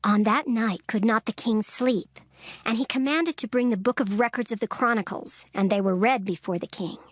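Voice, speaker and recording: A woman narrating a dramatized scripture recording in a measured, solemn storytelling manner. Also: a little high, no echo, clean studio sound. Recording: narration over clear background music. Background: background music.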